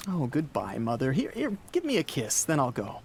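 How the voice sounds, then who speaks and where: A man's voice: mid-range voice, huge pitch swings, moderate pace, creaky, a little mellow, a little hiss or hum. An actor playing an anxious, haunted thirty-six-year-old motorist with an American accent, telling his story in a radio play. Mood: fond, reassuring. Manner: urgent, confessional. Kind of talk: dramatic first-person radio play narration.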